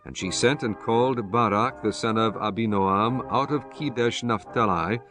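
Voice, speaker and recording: A man narrating scripture from a script in a measured, solemn manner with archaic biblical wording. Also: lowish voice, music in the background. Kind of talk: scripted scripture narration, King James Bible.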